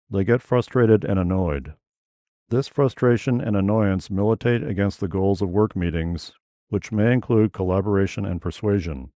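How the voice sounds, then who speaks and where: Man, New England accent, monotone, formal scripted explainer; mellow tone, dry close recording. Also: lowish voice, no echo. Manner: measured, formal, deliberate delivery. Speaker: tech educator.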